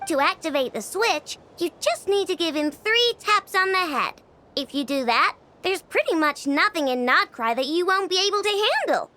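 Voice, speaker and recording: A woman's voice: very high-pitched, expressive, normal speed, pushed and bright, full character voice. An actress playing a cocky, grudging young girl inventor in a video game, huffy and self-assured. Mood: proud, cautioning. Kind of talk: animated video game voice acting. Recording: music under the voice.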